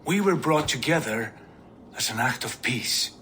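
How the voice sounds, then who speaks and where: An actor playing an earnest, gentle male viking jarl in a video game, speaking slowly and sincerely. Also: medium pitch, lively intonation, slight background noise. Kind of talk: video game cutscene acting.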